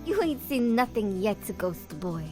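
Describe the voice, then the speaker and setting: Actress doing a feisty dramatic cartoon singer gal, lively highish voice, bits of spanish, light music. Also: female voice, measured pace. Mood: defiant. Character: feisty, proud, self-doubting woman singer, defiant underdog. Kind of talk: animated cartoon voice acting.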